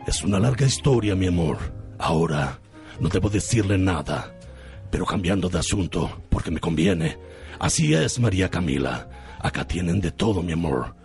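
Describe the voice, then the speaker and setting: A man's voice: deep voice, expressive, normal speed, creaky, a little mellow, faint music behind. A man acting a pompous, evasive lover in a theatrical, over-earnest radio soap parody. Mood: smug, evasive.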